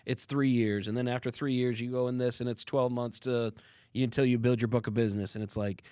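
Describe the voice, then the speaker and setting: A man's voice: medium pitch, steady tone, brisk, darkish tone, smooth, dry recording, clean studio sound. A young adult man co-hosting a podcast, telling stories in a casual, earnest and reflective way. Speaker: podcast co-host.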